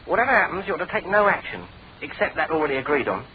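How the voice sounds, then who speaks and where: A British detective's assistant talks extremely fast and with animated pitch, brisk, slangy and sure of himself. The classic radio drama recording is thin and phone-like. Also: male voice. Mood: brisk, confiding. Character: brisk, loyal male detective's assistant, cheerfully confident. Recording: tinny phone-like radio recording, crowd-like noise.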